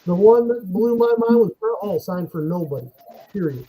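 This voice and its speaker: Male co-host, high and husky, casual storyteller. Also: moderate pace, rough recording. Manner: animated anecdotes, laughs while telling stories.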